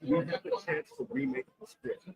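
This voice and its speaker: Swooping gravelly man at noisy event. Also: extremely high pitch, huge pitch swings, moderate pace. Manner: swooping, emphatic, repeats phrases. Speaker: boxing industry guest. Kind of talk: interview answers. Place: busy press event hall. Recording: loud crowd chatter behind.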